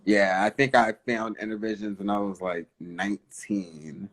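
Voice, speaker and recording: A man in his early thirties hosting a casual interview, with halting phrasing and many restarts. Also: lowish voice, steady tone, a bit bright. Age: 30s. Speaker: interviewer, host.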